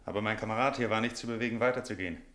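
An actor playing a cool, courteous young smuggler of about thirty in a radio play, speaking tersely and formally. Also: male voice, lowish voice, rapid-fire, fairly bright tone, natural voice. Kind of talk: German radio play dialogue. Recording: echoey room with faint noise.

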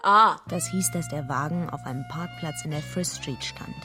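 A woman's voice: sits low, expressive, moderate pace, music in the background. An actress playing a tough, streetwise young woman, narrating a radio drama in a wry, matter-of-fact way. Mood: casual, deferential.

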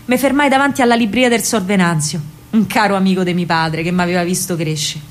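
An actress playing a spirited, indignant working-class girl with a Roman accent, telling her memories in an expressive, colloquial theatrical monologue. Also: medium pitch, quick pace, close to an everyday voice. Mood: wistful. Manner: colloquial, emphatic, expressive storytelling. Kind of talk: theatrical first-person monologue in dialect.

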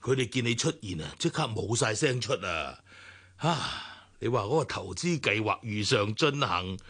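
Scheming businessman, animated and gravelly. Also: fairly low pitch, normal speed, heavily acted. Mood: smooth, pressing. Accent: Hong Kong Cantonese. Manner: coaxing, urging. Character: scheming businessman, smooth and persuasive to his superior. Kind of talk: Hong Kong radio drama acting.

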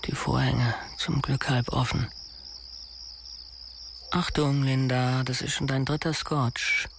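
Slow-muttering obsessed stalker. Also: male voice, medium pitch, fairly even intonation, vocal fry, noisy background. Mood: watchful, tense. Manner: slow, halting, repetitive muttering. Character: obsessed male stalker, lovesick and jealous, muttering to himself. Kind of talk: radio play inner monologue.

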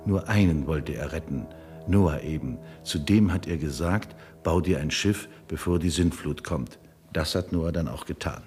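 An actor playing a thoughtful, earnest and bewildered newcomer to a space station in a reflective, measured 1970s radio play style. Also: male voice, extremely low pitch, a little mellow, soft background music.

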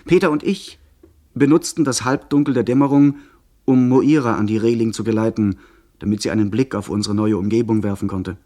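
Measured, sober young gentleman storyteller, male actor. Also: fairly low pitch, close to an everyday voice. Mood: grave, measured. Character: young gentleman narrating his sea adventure, sober. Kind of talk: scripted radio play narration.

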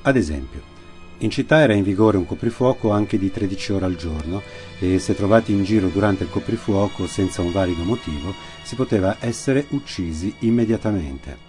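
Solemn low-voiced male stage narrator. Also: expressive, darkish tone, soft background music. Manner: measured, solemn, deliberate delivery with lists and pauses. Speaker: theatre actor narrating his own monologue. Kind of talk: theatrical historical narration.

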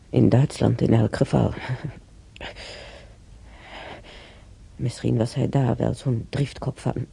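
Husky, slow old woman. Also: a bass voice, mellow, dry recording, full character voice. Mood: wistful, brooding. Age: older. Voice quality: husky, creaky. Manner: halting, brooding, repeating herself. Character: regretful old mother remembering beating her stubborn son. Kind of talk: radio drama monologue.

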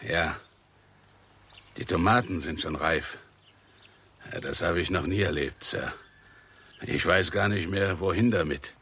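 An actor playing an amiable, deferential gardener in a 1960s German radio play, talking in an unhurried, folksy way. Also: male voice, sits low, a bit bright, slightly rough, heavily acted. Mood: amiable, marvelling. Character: amiable, plain-spoken gardener proud of his tomatoes.

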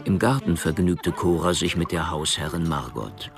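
A man narrating a crime radio drama from a script, in a hushed, deliberate storytelling manner. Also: low-pitched, music in the background.

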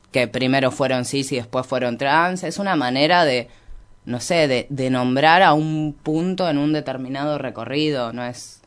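Argentine man, clear smooth voice, reflective. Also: mid-range voice, a bit bright. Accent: Argentine Rioplatense. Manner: halting, reflective, frequent hedging and self-correction. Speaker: interview guest, activist and researcher. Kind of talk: unscripted radio interview, explaining ideas.

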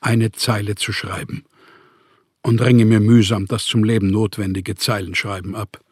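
A man's voice: on the low side, breathy edge, no echo, studio quality. An actor playing a despairing, formal writer in his thirties, reading a letter aloud in a radio play. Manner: formal, weighed down by misery.

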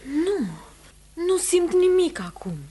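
An actress playing a frightened, submissive young servant girl in a radio drama, halting and pleading. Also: very high voice, sing-song, mellow. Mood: timid, halting.

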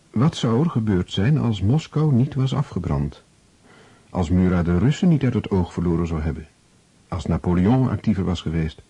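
A man narrating a literary radio drama in a measured, serious, scripted manner. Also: low voice, warm tone, breathy edge, dull audio.

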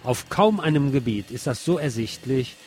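A man's voice: mid-range voice, animated, normal speed, a little mellow, faint music behind. A man hosting a radio show, reading a news commentary aloud. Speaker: radio host.

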